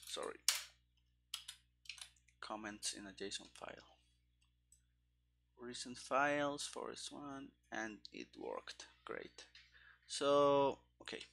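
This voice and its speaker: A man narrates his live coding in a bright, creaky voice. He takes it slowly and haltingly, often muttering bits to himself as he works through each step. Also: medium pitch. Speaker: game developer streaming his coding. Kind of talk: live coding commentary, thinking aloud. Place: small room indoors. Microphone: headset.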